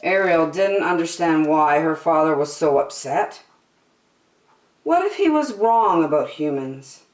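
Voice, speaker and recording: A middle-aged woman reading a picture book aloud expressively, as a children's story time host. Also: deep voice. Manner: animated, deliberate. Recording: slightly echoey room.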